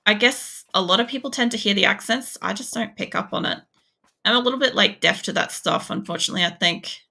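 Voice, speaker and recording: Gravelly bright-voiced Australian woman. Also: medium pitch, a little fast. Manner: rambling, frequent fillers and swearing. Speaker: game streamer. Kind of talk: casual gaming commentary chatting with viewers.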